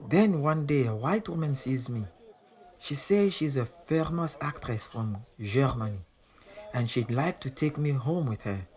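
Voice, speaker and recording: A man's voice: mid-range voice, animated, a little slow, darkish tone, natural voice. Man acting a proud, volatile champion boxer. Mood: bitter, reflective. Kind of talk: audio drama acting with confiding first-person narration.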